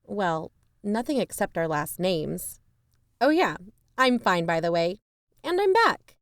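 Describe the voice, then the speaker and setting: A woman's voice: mid-range voice, sing-song, slow pace, no echo, studio quality. A woman playing a wry podcast co-host, speaking in a scripted announcing style. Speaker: podcast co-host reading credits.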